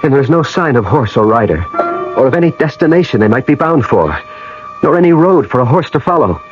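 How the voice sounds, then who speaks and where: An earnest, determined American traveller played by a male actor in an old radio play. His medium-pitched voice swings widely and dramatically, on a dull vintage recording. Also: very animated, a little fast, music in the background, lightly acted. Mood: bewildered. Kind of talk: radio drama acting with first-person narration.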